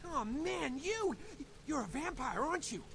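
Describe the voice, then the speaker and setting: An actor voicing an excitable, whiny young male vampire's servant in a video game, gushing and stammering in slangy speech. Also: very high-pitched, a little slow, vocal fry, exaggerated voice. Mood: eager, amazed. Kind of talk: video game character dialogue. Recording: game music behind the voice.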